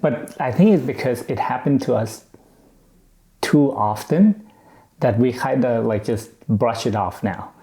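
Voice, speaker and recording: A man's voice: mid-range voice, unhurried, gravelly, dark tone, roomy sound. A man from Thailand whose first language is Thai, co-hosting a casual podcast and speaking frankly and emphatically. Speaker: podcast co-host.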